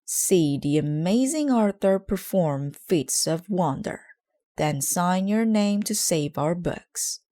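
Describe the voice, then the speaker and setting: A woman reading a children's storybook, voicing an eager, bossy boy showman who calls out in a loud, stretched-out hawker's delivery. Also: fairly low pitch, animated, slow, pitched above the performer's own voice. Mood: loud hawking. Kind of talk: children's storybook read aloud.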